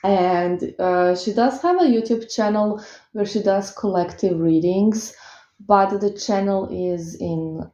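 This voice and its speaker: A woman's voice: medium pitch, takes it slowly, dark tone. A woman from Croatia with a Croatian accent, a tarot reader chatting casually to her viewers, hesitant with many fillers. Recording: echoey room, dull lo-fi sound.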